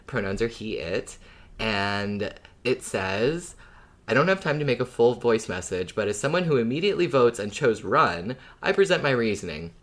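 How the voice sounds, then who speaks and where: A podcast co-host talking casually and informally in a relaxed discussion. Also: mid-range voice, normal speed.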